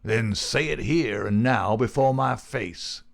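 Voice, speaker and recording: A man's voice: on the low side, big pitch swings, normal speed. A man voicing a cocky, cool-nerved outlaw newcomer in an audiobook, talking in brash, colloquial American style. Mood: defiant.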